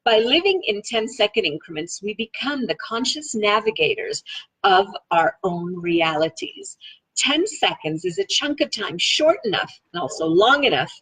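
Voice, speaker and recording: A woman with a slightly husky voice reading a self-help book aloud in an enthusiastic, expressive way, with casual, chatty asides. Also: medium pitch, moderate pace, low-quality recording. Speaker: reader of a self-help book read-along.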